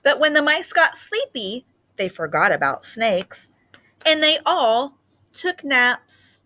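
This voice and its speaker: A woman's voice: high-pitched, big pitch swings, slow pace, bright, forceful tone. A woman speaking as a teacher leading a children's read-aloud, explaining simply and reading expressively.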